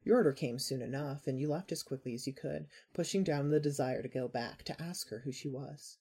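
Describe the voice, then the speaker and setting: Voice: mid-range voice, a little fast, mellow, breathy edge. A podcast narrator reading a scripted second-person story aloud. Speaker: narrator of a fan fiction podcast.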